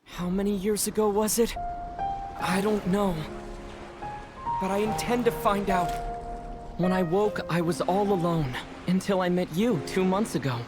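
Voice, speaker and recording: A man playing an earnest young traveler hero in a video game, with a youthful, breathy voice. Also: sits high, a little slow, long pauses, big-room sound, over music. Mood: somber, resolute. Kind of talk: video game character dialogue.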